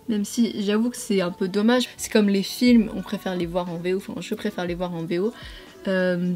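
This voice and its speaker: A young woman with a mellow, relaxed voice talks like a lifestyle vlogger chatting to her followers. Her delivery is informal and slangy, wandering off and correcting herself. Faint music plays behind her. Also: mid-range voice. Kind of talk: casual chat to followers while doing makeup.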